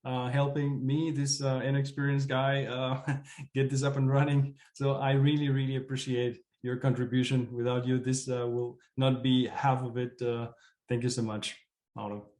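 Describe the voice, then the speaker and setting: A man's voice: mid-range voice, flat delivery, moderate pace, darkish tone, reverberant. A man hosting an online community meeting, welcoming and thanking people in a casual, appreciative, informal way. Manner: appreciative, informal, chuckling often. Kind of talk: casual welcoming moderation of a video call.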